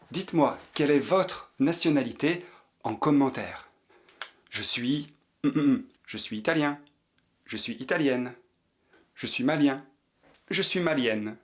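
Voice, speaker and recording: A man teaching a language lesson, patiently repeating each example sentence for learners to copy. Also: medium pitch. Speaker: French teacher. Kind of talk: slow French lesson for learners.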